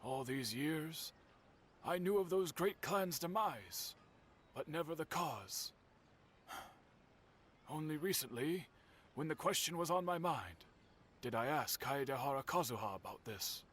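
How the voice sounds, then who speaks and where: An actor playing an older master swordsmith in a video game, courteous and grave, telling old history in a measured, formal way. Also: male voice, a little high, animated, a bit bright, slightly rough, exaggerated voice. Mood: solemn. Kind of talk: video game character acting.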